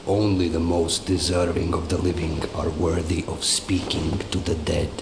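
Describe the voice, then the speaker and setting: Very deep, echoing ancient guardian spirit. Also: male voice, flat delivery, moderate pace. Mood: stern, solemn. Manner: stern, questioning, weighty. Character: ancient male guardian spirit of a lake, solemn, imposing. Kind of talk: dramatic video game acting. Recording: echoing voice over clear background noise.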